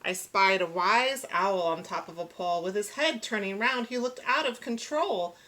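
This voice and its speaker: A woman reads a children's storybook aloud in the manner of a teacher. Her delivery is expressive and follows the bounce of rhyming verse, with a voice at an ordinary middle pitch. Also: normal speed, slight background noise.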